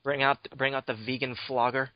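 A man's voice: mid-range voice, little pitch movement, fairly quick, no echo. Man, podcast host, crude teasing banter, dry close sound, dull lo-fi audio. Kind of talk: casual chat.

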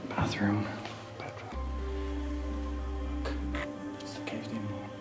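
A middle-aged man who is not an English speaker, talking in a halting way and thinking aloud as he walks through an abandoned house on a handheld camera mic. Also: fairly low pitch, monotone. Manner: halting, thinking aloud, drops to a hushed whisper at times. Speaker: urban explorer host. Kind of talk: casual walkthrough commentary. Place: large abandoned house, indoors. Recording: echoey empty rooms, music underneath.

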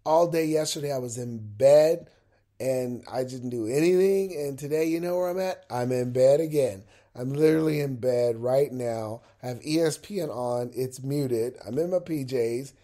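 A man's voice: mid-range voice, normal speed, warm tone. A man with a head cold hosting a motivational podcast, talking upbeat and encouraging straight to his listeners from his bed at home. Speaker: podcast host. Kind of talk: unscripted motivational podcast monologue. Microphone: laptop with a mic.

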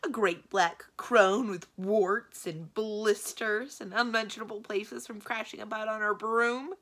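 A woman's voice: medium pitch, fairly even intonation, unhurried, a bit bright, voice pushed up. A woman voicing a vain, hot-tempered aging male wizard, shrieking and indignant in overblown storybook style. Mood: outraged.

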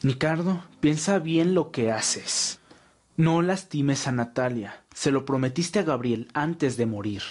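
A man's voice: medium pitch, slow, a little mellow. A man playing a principled, distraught vampire in an audio roleplay, pleading and indignant in manner. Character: distraught, principled male vampire challenging his leader. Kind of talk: ASMR audio roleplay acting.

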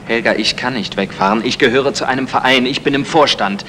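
A man plays a stubborn, indifferent husband in a vintage radio comedy. He talks fast and brusquely, with long reverb and faint noise. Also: medium pitch, pushed and bright, lightly acted. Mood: curt, defensive. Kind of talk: 1960 German radio comedy acting. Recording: reverberant, faintly noisy old radio recording.

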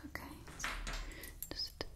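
Woman, twenties, ASMR roleplay host. Breathy close-mic whisper, unhurried delivery, soothing repetition. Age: 20s. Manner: soft, repeats phrases slowly and soothingly. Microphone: close-up ASMR microphone.

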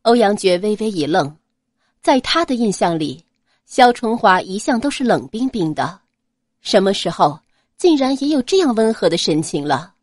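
Woman reading an audiobook, expressive storytelling, pitch moves a lot, dry recording. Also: no echo. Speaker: audiobook narrator.

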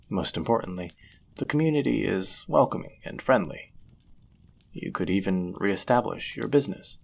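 Low, husky, courteous medieval innkeeper played by a man. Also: a little mellow, exaggerated voice. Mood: warm, admiring. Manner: formal, measured, old-fashioned diction. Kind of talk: roleplay audio drama acting.

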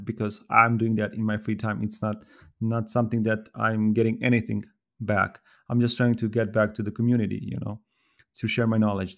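Man, Serbian accent, low pitch, flat delivery, thoughtful, fillers, podcast guest. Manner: thoughtful, with many fillers and restarts. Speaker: podcast guest, software developer. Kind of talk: casual podcast conversation.

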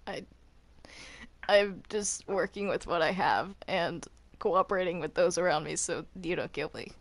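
Woman playing a slow, stammering, defensive captive cartoon demon. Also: lowish voice, no echo. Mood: flustered protest. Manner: halting, stammering, protesting. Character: defensive reluctant captive Bendy, male cartoon demon, flustered. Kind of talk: improvised Minecraft roleplay.

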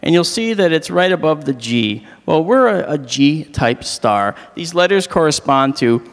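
A middle-aged man with a slightly hoarse, fairly high voice lectures on stage, joking with the crowd. His pitch swings widely as he talks into a handheld mic. The sound carries a long hall reverb. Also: a little slow. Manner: animated, joking with the audience. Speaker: astronomy lecturer. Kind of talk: public lecture with jokes.

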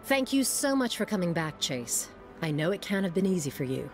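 Earnest, authoritative city mayor. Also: female voice, lowish voice, very animated, brisk, darkish tone. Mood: businesslike. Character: composed, urgent female city mayor in video game. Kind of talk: scripted video game cutscene acting. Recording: faint music behind the voice.

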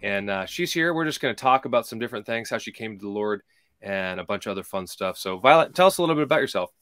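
Male host, 30s, relaxed webcam chat, dry sound, faint music. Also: on the low side, fast, clean tone, dry recording. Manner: relaxed, filler-heavy, easygoing. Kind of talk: casual interview chat. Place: home room. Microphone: video call, webcam.

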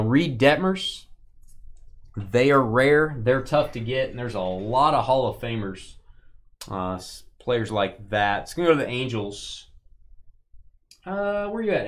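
A man with a Texas accent hosting a live-stream card break, chatting and joking with viewers as he opens packs. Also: medium pitch. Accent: Texan.

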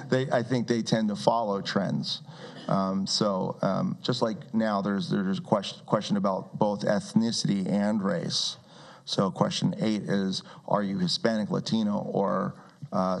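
A man's voice: fairly low pitch, vocal fry. A man in his forties speaking at a community meeting with a handheld mic, talking in a conversational way with many restarts and wry asides. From Brazil. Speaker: guest speaker. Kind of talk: public talk with audience questions. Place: community meeting room with seated audience.

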